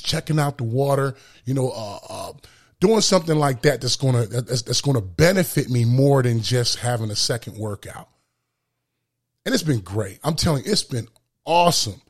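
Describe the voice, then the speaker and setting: A man hosting a solo motivational podcast with a booming voice, speaking emphatically and repeating phrases for effect. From lives in Florida. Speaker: podcast host.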